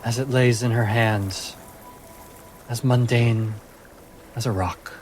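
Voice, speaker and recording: A man narrating a tabletop fantasy game as game master, with a measured, deliberate storytelling delivery. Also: mid-range voice, steady tone, darkish tone, a little hiss or hum. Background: music.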